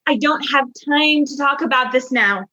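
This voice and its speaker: A woman's voice: sits high, normal speed, close to an everyday voice. A woman playing a flustered, defensive officer in a sci-fi radio comedy, speaking in a curt, agitated, evasive way. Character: flustered, defensive female officer late for duty. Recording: dull lo-fi audio, some noise.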